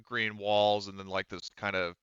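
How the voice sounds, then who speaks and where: Guy running a tabletop game, smooth low voice, explains stuff casually, slightly muffled dry audio. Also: flat delivery, normal speed, fairly bright tone, dry recording. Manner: conversational, explanatory. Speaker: game master.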